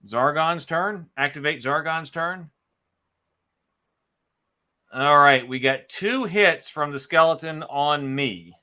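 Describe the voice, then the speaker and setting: Bright-voiced man narrating solo board game play. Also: fairly high pitch, takes it slowly, pauses often. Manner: thinks aloud, announces dice counts and moves. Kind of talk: casual live play-by-play with narrated moves.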